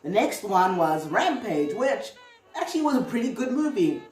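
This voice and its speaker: A woman with a mid-pitched voice hosts a casual commentary video. She talks in a loose, conversational way with hedging asides. The sound is roomy and echoey, with music playing behind her. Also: sing-song, moderate pace, darkish tone, far from the mic. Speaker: video host.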